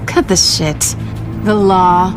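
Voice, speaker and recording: An actress playing a sly, tough, flirtatious cat burglar with a playful, sardonic and cocky delivery. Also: female voice, fairly low pitch, fairly even intonation, slow pace. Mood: sardonic, challenging. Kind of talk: scripted game or film acting. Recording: music under the voice.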